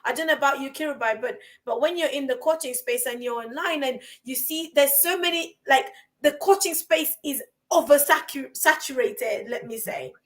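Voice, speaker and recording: A high-pitched woman hosts a relaxed podcast conversation. She drives her points home by repeating short phrases with rising force, and she talks as an encouraging coach would. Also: moderate pace, few pauses. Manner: emphatic, repeats phrases for stress. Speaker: podcast host. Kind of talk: casual chat about confidence.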